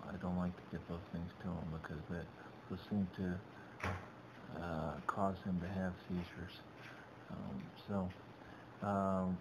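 A middle-aged man narrating his shopping trip in a halting, rambling way, full of restarts, over a webcam. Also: low-pitched. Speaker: vlogger. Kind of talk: casual narrated shopping vlog. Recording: dull lo-fi webcam audio with background noise.

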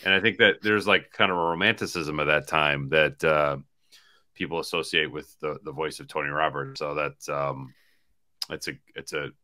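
A man's voice: rumbling low, steady tone, normal speed, sharp tone. A man in his forties co-hosting a sports podcast, chatting casually and in a rambling way over a video call. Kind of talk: casual postgame sports chat. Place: small hotel room, indoors. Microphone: video call on laptop.

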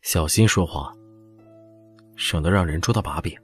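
An actor playing a calm, calculating older prince in an audio drama, composed and understated in manner. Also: male voice, deep voice, moderate pace, slightly rough, faint music behind. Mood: cautious, composed.

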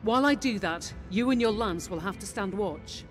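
An actress voicing a steady, loyal female officer in a video game, giving briefings in a measured, serious way. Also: mid-range voice, natural voice. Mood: businesslike. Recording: music behind the voice.

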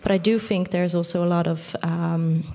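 A woman in her thirties, a journalist whose first language is Dutch, answering thoughtfully with many pauses on a stage panel. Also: on the low side, steady tone, vocal fry, dark tone. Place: theater stage with audience. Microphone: small headset mic.

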